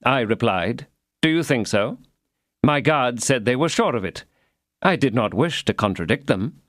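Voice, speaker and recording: A man voicing a calm, dry-witted musketeer who answers slowly and without fuss. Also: mid-range voice, no room sound, natural voice. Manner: calm, unhurried, understated.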